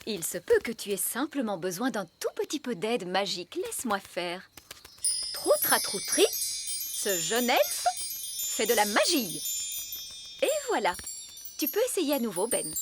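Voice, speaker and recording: Strict cartoon fairy nanny, high voice w lots of swoops, bossy teacher type. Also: female voice, wildly swooping pitch, unhurried, pauses often, noisy background. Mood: instructive. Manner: didactic, commanding. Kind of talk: children's cartoon dub acting.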